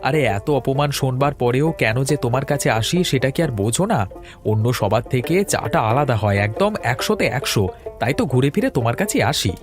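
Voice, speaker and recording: A man's voice: mid-range voice, expressive, a little fast, over music, natural voice. A man playing a pleading, freeloading village tea customer in an audio drama, talking in a wheedling way. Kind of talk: Bengali audio story drama acting.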